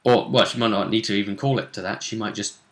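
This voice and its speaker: A man hosting a casual chat about a tabletop role-play game, telling it with emphatic, drawn-out phrasing. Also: on the low side, fairly even intonation. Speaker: host. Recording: roomy, echoey room sound.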